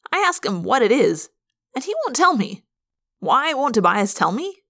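Lady doing a sarcastic teen boy in an audiobook, pushy and sneery, pitched up. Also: big pitch swings, normal speed, a bit bright, exaggerated voice. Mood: accusing. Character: sardonic, accusing teenage boy. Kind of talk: audiobook character voice.

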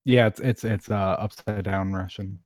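Low-voiced man, hesitant casual talk. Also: steady tone, normal speed, a little mellow, dry recording, clean studio sound. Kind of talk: casual group chat.

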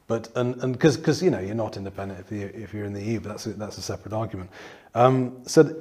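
Rambling, lowish-voiced male interviewer. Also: fast talker. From northeast England. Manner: rambling, many restarts and fillers, lively asides. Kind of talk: political discussion.

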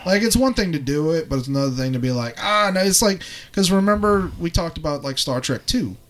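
A man co-hosting a podcast, chatting about pop culture in an animated, conversational way. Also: fairly high pitch, fairly quick. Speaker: podcast co-host. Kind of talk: casual pop-culture chat.

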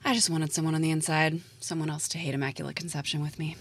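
A woman's voice: low voice, level tone, quick pace, breathy edge. A woman playing a jaded, blunt schoolteacher with a dry, sardonic manner, in a naturalistic audio drama. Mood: cynical. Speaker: actor in an audio play.

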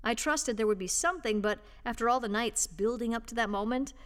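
A woman reading a written personal piece aloud as scripted narration, with a measured, expressive storytelling delivery. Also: a little high. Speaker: volunteer reader and host of an audio reading service.

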